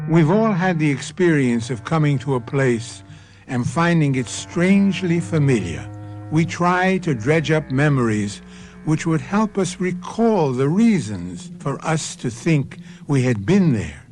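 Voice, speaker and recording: An older man closes a radio mystery as its host, with a slow, deliberate and faintly ominous delivery. Music plays clearly behind him. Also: on the high side, very animated, darkish tone. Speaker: host and producer. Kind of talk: scripted radio outro.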